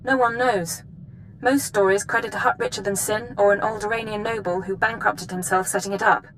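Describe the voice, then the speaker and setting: An actress playing a brisk, authoritative female intelligence chief briefing an agent in a video game. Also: medium pitch, fairly even intonation, a bit bright, slight background noise, natural voice, rough recording. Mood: grave briefing. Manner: crisp, authoritative.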